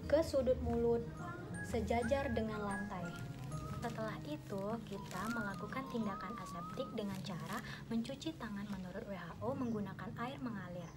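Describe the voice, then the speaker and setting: Polite young woman explaining over loud music. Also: fairly high pitch. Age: young adult. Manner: polite, step-by-step explaining, formally addresses the patient. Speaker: dental radiography student. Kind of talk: skills lab demonstration narration.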